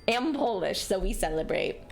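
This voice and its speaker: A woman's voice: medium pitch, expressive, normal speed, creaky, soft background music. A young woman from rural New York, chatting casually and rambling with lots of fillers while streaming a game. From rural New York, USA. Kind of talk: casual chat while gaming. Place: home, living in Japan.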